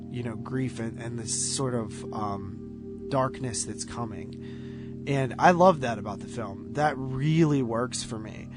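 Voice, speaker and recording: A man co-hosting a movie podcast, reviewing films casually in a halting way, thinking aloud and qualifying as he goes. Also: mid-range voice. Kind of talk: casual film review chat.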